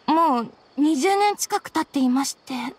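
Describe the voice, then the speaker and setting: A woman's voice: high-pitched, lively intonation, normal speed. A woman playing a polite young scholarly woman in an anime game, hesitant and subdued. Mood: dazed, wistful. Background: faint game music.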